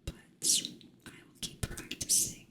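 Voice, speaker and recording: A woman whispering softly in a playful way as she chats with her live-stream audience. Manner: soft whisper, playful with chat; slow, drawn-out delivery. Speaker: ASMR streamer.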